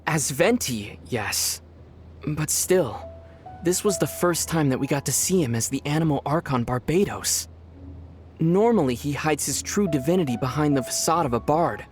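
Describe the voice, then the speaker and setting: A man voicing a calm, thoughtful young traveler hero in a video game, speaking in a measured way. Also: fairly high pitch, soft background music, natural voice.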